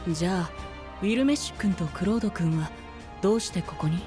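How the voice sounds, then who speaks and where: A male voice actor playing a calm, dreamy, soft-spoken adolescent boy knight with a breathy voice, in an anime-style voice drama. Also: high-pitched, fast, over music. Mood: mildly curious.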